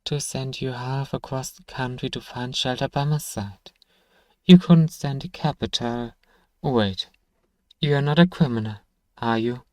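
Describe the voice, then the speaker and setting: A gruff apothecary from a fantasy ASMR roleplay, acted by a man, speaks at a medium pitch with a dark, mellow tone. He is sardonic and grudgingly kind, full of sighs and dry teasing aimed at a single listener. The sound is intimate and close, with hardly any room on it. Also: a little slow, no room sound, close to an everyday voice. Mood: suspicious. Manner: dry teasing, sighing, murmuring to one listener.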